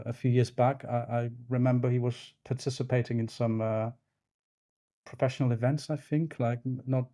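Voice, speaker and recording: Mellow-voiced man, native Polish speaker. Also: mid-range voice. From Poland. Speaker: podcast guest, snooker referee. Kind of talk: casual interview answers.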